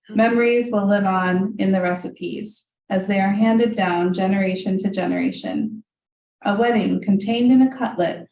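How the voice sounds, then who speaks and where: A first-generation Hungarian-American woman, a native Hungarian speaker, reads her poems aloud in a measured, deliberate way, then adds loose asides full of ums. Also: mid-range voice, darkish tone. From United States, Hungarian family. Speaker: poet reading her work. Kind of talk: live poetry reading with spoken asides. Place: reading room of a library, indoors. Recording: echoey room, voice from far off, faint hiss.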